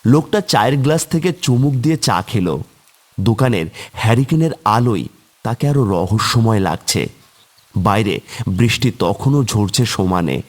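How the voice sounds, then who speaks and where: A man's voice: lowish voice, expressive, moderate pace. A man narrating a scripted horror tale as a storyteller for a cartoon.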